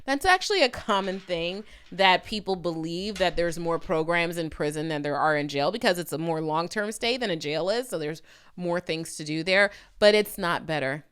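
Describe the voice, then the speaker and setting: A woman's voice: lowish voice, lively intonation, normal speed, a bit bright, smooth. A woman giving conversational legal commentary, speaking directly to the viewer in an emphatic, opinionated manner. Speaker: legal commentator hosting a video channel.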